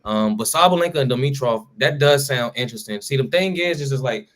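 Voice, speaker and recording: A man's voice: medium pitch, animated, brisk, fairly bright tone. A man co-hosting a casual sports talk show, talking loosely in slang with lots of fillers and restarts. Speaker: podcast co-host.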